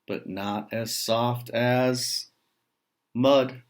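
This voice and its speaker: Man reading as a slow, earnest small pig. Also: medium pitch, fairly even intonation. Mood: musing. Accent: American. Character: small young pig, simple, earnest, mud-loving.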